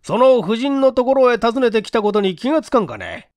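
Man acting a commanding, animated old-school police chief. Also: extremely high pitch, fairly quick, no room sound, studio quality, pitched above the performer's own voice. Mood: probing, stern. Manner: commanding, old-fashioned official speech. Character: authoritative, probing male police chief, gruffly interrogating. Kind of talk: dramatic audiobook character acting.

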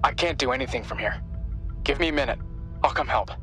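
An actor playing an earnest, caring young tech helper in a video game, eager and explanatory. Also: male voice, medium pitch, quick pace, music in the background, lightly acted. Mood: helpful, focused. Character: earnest, worried young male tech-savvy sidekick. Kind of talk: cinematic game dialogue.

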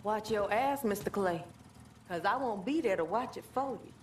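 A woman's voice: mid-range voice, animated, moderate pace, slight background noise, natural voice. A woman playing a bold, cocky young rebel driver in a video game, brash and swaggering, with an American accent. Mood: cocky banter. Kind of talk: video game cutscene acting. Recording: distant game audio with music.

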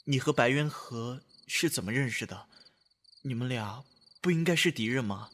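An actor playing a gentle, concerned young companion in a costume radio drama. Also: male voice, mid-range voice, animated, normal speed, a little hiss or hum, close to an everyday voice. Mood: gentle probing.

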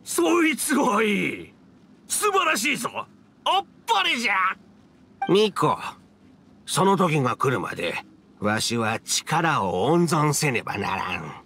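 An old yokai spirit from an anime video game. His speech is slow and extremely animated, in a hearty old-man idiom. He is theatrical and jovial, with a faint noise behind him. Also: on the high side, exaggerated voice. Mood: delighted, then gentle.

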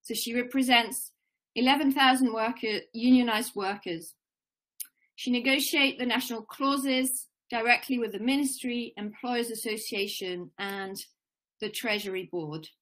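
A woman's voice: fairly high pitch, unhurried, rough recording. A woman in her forties introducing symposium speakers on a video call, reading steadily from notes. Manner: steady, formal, occasional fillers. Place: home room with plants and shelves. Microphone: laptop webcam on a video call.

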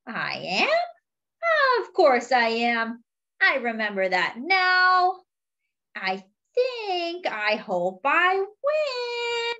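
A woman's voice: very high voice, wildly swooping pitch, very slow, bright, forceful tone, dull audio, pitched above the performer's own voice, heavily acted. A woman voicing a big, forgetful, gullible male elephant for a children's picture book, exclaiming and then hesitantly agreeing.

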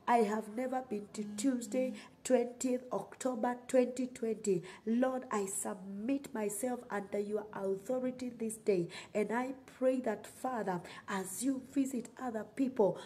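A woman's voice: a little high, dark tone, slight background noise. A middle-aged woman with a Kenyan accent preaching and praying on a livestream, emphatic and repeating phrases for effect. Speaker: online preacher. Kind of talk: sermon and prayer on a livestream.

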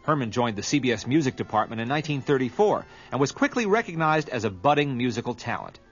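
A man's voice: mid-range voice, sing-song, fast talker, slightly rough, muffled recording, soft background music. A man reading scripted documentary narration as the narrator.

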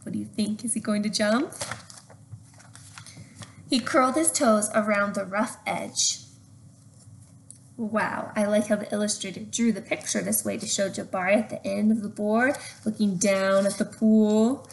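A young woman doing an expressive read-aloud for children, with chatty questions to her listeners. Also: medium pitch, measured pace. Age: young adult. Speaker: storyteller reading to children. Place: open living room at home. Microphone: webcam at arm's length. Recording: slightly noisy home webcam recording.

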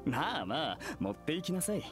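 Wry, courteous gentleman, expressive. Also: fairly high pitch, loud music behind the voice. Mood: gracious, resigned. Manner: polite, measured, old-fashioned. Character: courteous, wry, mysterious gentleman haunting an old temple. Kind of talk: anime game acting.

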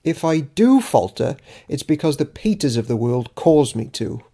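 A man's voice: medium pitch, sing-song, moderate pace, mellow. A man reading an audiobook as Judas Iscariot, a self-important, grudging disciple keeping a diary, in a dry, theatrical, pompous manner. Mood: smug, musing. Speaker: author reading own audiobook. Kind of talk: dramatic audiobook narration of a diary.

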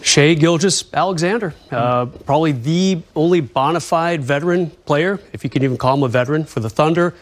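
Higher-pitched male sports studio analyst. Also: brisk, few pauses. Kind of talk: broadcast game preview commentary.

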